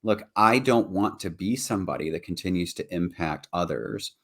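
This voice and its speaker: Podcast co-host, man, very deep low voice, earnest and reflective, conversational delivery. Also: normal speed, fairly bright tone. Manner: earnest, reflective, frequent "right?" and "like". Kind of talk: casual earnest discussion.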